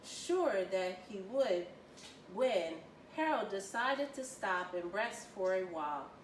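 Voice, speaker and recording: A woman reading a children's story aloud with expressive, deliberate storytelling. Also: mid-range voice. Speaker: volunteer children's story reader. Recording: roomy, distant mic, faint noise.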